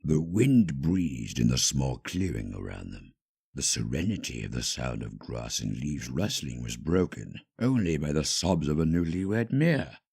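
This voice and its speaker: A man narrating a scripted story dramatically, with measured, hushed phrasing and pauses. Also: a bass voice, very animated, gravelly, breathy edge.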